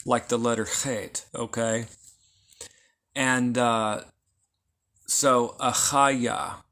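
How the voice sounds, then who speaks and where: A man teaches esoteric lore at a slow, unhurried pace. He explains patiently and circles back over each point, often stopping to check in with listeners. His voice sits in an ordinary middle pitch. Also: a bit bright. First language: not Hebrew. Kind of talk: informal lecture.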